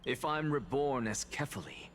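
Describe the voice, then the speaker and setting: A man voicing a calm, arrogant undead scholar in a video game, deliberate and condescending, with music behind him. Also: mid-range voice, slight background noise, lightly acted. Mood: smugly confident.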